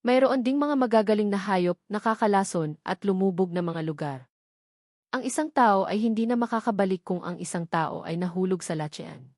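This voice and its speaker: A woman's voice: mid-range voice, normal speed, darkish tone, dry recording, clean studio sound, lightly acted. A woman voicing a cautious young female disciple in a storybook, respectful toward her master. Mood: anxious, warning.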